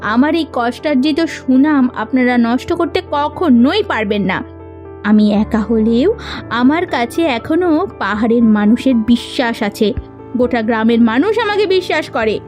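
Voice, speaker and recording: A woman's voice: on the high side, animated, unhurried, a little mellow, soft background music. A woman voicing a proud elder sister who runs a village kitchen, speaking in a stern, accusing way in a cartoon drama. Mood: angry, accusing. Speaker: voice actor.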